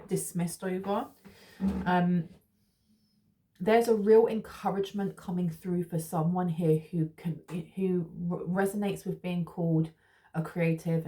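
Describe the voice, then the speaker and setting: A woman talks through a tarot reading in a loose, rambling way, full of fillers and restarts. Her voice sits a little low and sounds mellow and relaxed. She keeps a gently reassuring tone toward her listeners. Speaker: tarot reader. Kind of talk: casual spiritual tarot reading.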